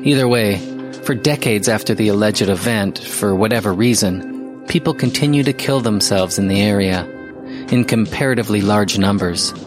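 Actor as male mystery podcast narrator, lowish voice, measured storytelling, deliberate pauses, prominent music bed, audio drama. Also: close to an everyday voice. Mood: ominous, measured. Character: male investigative podcast host telling eerie mysteries. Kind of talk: scripted audio drama narration, documentary style.

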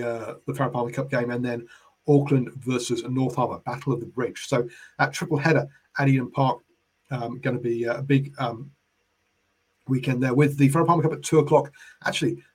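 A New Zealand man with a head cold and a hoarse voice, hosting a rugby podcast in casual solo talk, rambling with many fillers and restarts. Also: medium pitch, rough recording.

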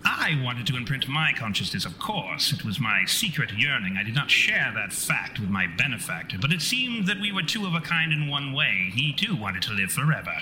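A man's voice: mid-range voice, sing-song, moderate pace, hardly any pauses, bright, forceful tone. A man voicing the vengeful ghost of a conceited, long-winded parapsychologist in a tabletop horror game, pompous and theatrical in formal speech. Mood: vengeful, aggrieved. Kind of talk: tabletop horror role-play. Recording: reverberant hall sound, noisy ambience behind.

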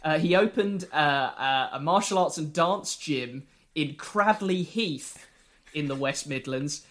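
A man co-hosting a podcast in casual chat, with a wry, joking, unhurried manner. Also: a little high, a bit bright. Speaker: podcast co-host.

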